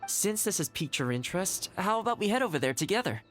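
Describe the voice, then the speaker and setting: An easygoing, obliging young noble from a video game. The voice is slightly low and very animated in pitch, with a casual, considerate manner. Music runs underneath. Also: female voice, quick pace. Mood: relaxed, obliging. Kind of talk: English video game voice acting, scripted dialogue.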